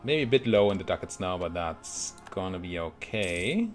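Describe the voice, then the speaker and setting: A man's voice: deep voice, expressive, moderate pace, soft background music. A man giving casual let's play commentary on a strategy game, thinking aloud and muttering to himself as he goes. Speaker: video game streamer playing a strategy game.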